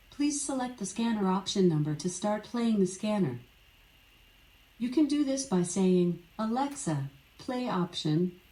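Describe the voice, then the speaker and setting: Female synthetic assistant, mellow and mid-pitched, scripted prompts, heard from a smart speaker. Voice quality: synthetic, evenly measured. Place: indoor room, from a speaker on the floor. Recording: smart speaker playing in a roomy space.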